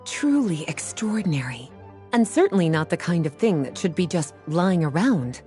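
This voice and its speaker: Video game magic librarian, warm mellow tone, lively intonation, poised n a bit teasing. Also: female voice, fairly low pitch, moderate pace, soft background music. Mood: composed, knowing. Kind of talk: video game character acting.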